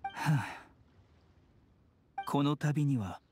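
An actor playing a composed young male doctor in an anime game, polite, measured and formal. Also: a little high, a little mellow. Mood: solemn. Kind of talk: Japanese anime game voice acting.

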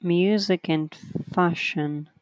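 A writing teacher giving an online lesson, reading textbook sentences aloud slowly and counting the corrections one by one. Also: medium pitch, fairly even intonation, dry recording.

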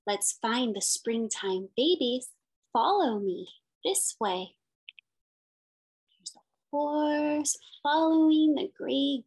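A woman's voice: sits high, slightly rough. A woman in her thirties reading a picture book aloud as a teacher, in simple sing-song phrases with questions for young children. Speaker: special education teacher.

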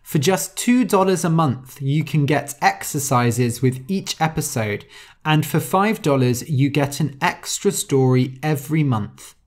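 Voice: mid-range voice, expressive, a little slow. A podcast host reading a simple story aloud for English learners, explaining words and spelling some out letter by letter.

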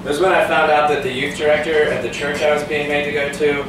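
A man's voice: fairly high pitch, monotone, fast. A man in his twenties doing live stand-up comedy at an open mic, ranting in a profane, incredulous way. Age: 20s. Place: small cafe comedy night, indoors. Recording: distant mic, echoey noisy live room.

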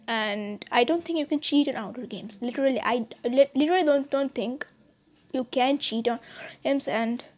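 A teenage girl from India with an Indian accent, chatting casually to her subscribers, rambling with many restarts and fillers. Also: a little high. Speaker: YouTuber. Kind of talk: casual vlog chat. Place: small bedroom, indoors.

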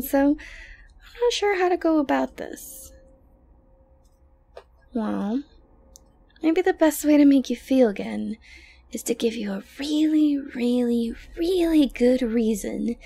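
A woman playing an obsessive, dominant yandere girlfriend in an ASMR roleplay, creepily tender and condescendingly sweet, breathy and often close to a whisper. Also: on the high side, animated, dark tone, heavily acted. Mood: coaxing, menacing. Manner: slow, coaxing, condescendingly sweet. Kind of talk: ASMR roleplay monologue to the listener.

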